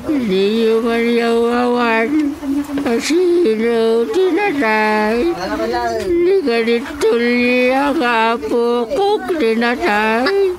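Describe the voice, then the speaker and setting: Aged Ilocano grandparent, high voice, slow delivery, anxious and tearful, comedy radio drama, busy voices behind. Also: female voice, animated, over a noisy crowd, low-quality recording. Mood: indignant.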